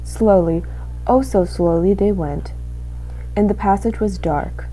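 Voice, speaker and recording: A young woman reading a children's storybook aloud as an expressive narrator. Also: mid-range voice, a little slow, a little hiss or hum, rough recording. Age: young adult. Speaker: student reader.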